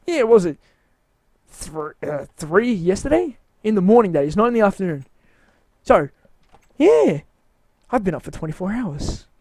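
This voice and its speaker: A man's voice: sits high, very animated, normal speed, long pauses, mellow. A man giving a casual, rambling walkthrough of his game build, tired and with his voice wearing out, hesitant and mocking himself.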